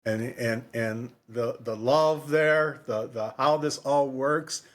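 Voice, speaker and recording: A man's voice: mid-range voice, slow pace. A man co-hosting a casual book discussion, talking in a rambling way that often repeats and restarts phrases. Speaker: podcast co-host.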